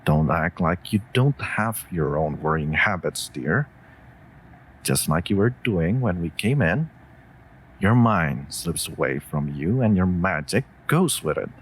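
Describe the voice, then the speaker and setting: A man's voice: low voice, lively intonation, a little slow, husky, full character voice. A man playing a devoted, worried wolf familiar in a comforting audio roleplay, speaking tenderly and formally to a mage. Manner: tender, earnest, formal phrasing.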